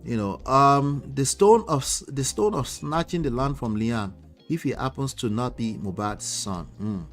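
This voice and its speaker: A man's voice: medium pitch, animated, unhurried, soft background music. A man with a Nigerian accent, a relationship coach giving casual commentary on viewer comments, speaking emphatically and often ending points with tag questions. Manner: emphatic, with frequent tag questions like "okay?" and "you know".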